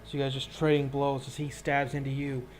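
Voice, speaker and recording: A man's voice: medium pitch, monotone, a little fast, faint music behind. A man narrating a tabletop roleplaying game as game master, telling the action in a casual, descriptive way.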